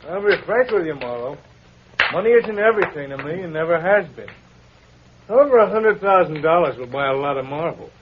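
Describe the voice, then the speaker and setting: Blunt, expressive big sculptor played by a man, noisy recording. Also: a little high, fairly quick, close to an everyday voice. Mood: blunt, scornful. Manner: blunt, sardonic. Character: big, blunt, cynical red-bearded male sculptor. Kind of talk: 1940s radio drama acting. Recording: muffled, noisy vintage radio recording.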